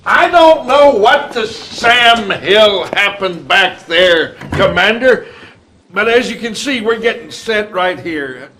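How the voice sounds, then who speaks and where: An actor playing a gruff, blustery middle-aged army general in a video game cutscene, blunt and folksy in manner. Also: male voice, sits high, bright, forceful tone, full character voice. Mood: folksy, wry.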